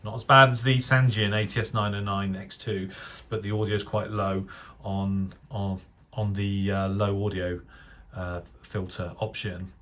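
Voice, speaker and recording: A British man with a fairly low voice talks through a review as an enthusiast would. His commentary is casual and unscripted. He gives his opinions in a measured way, pausing to find the right phrase. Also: level tone, sharp tone. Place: voice-over over still images.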